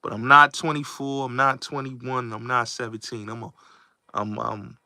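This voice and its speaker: A man in his late twenties talks straight to his viewers, leaning on emphasis and saying the same points again and again. His tone is bright and forceful. The recording is dry and close, with no room sound. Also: medium pitch, little pitch movement, moderate pace, dry recording. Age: late 20s. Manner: emphatic, repetitive, addresses viewers directly. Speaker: host. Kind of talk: casual livestream commentary. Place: New York.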